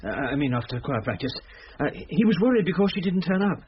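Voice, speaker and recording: A man's voice: on the high side, sing-song, quick pace, muffled recording, slight background noise. An actor playing an anxious, protective householder in a radio drama, a British man who stammers nervously and speaks deferentially. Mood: flustered.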